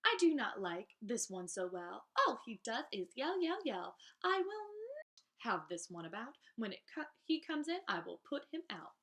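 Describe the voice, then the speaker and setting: A woman's voice: a little high, big pitch swings, moderate pace, fairly bright tone. A woman reading rhyming children's verse aloud in a theatrical, emphatic teacher's manner, drawing out key phrases.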